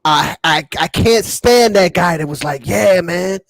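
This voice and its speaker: A man's voice: high voice, a little slow, gravelly, no room sound, clean studio sound. An American man co-hosting a casual hip-hop podcast, talking in slang and repeating phrases for emphasis. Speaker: podcast co-host.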